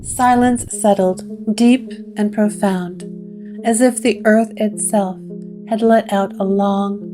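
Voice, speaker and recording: A woman narrating a gothic horror story with measured, atmospheric delivery. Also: mid-range voice, fairly even intonation. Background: guitar music.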